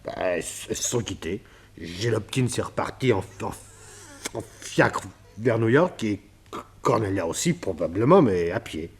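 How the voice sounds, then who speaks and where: A man's voice: on the low side, lively intonation, normal speed, slightly rough. An actor playing a nervous, sheepish man in a detective radio drama, stammering and repeating his words as he hesitates. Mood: sheepish, hesitant.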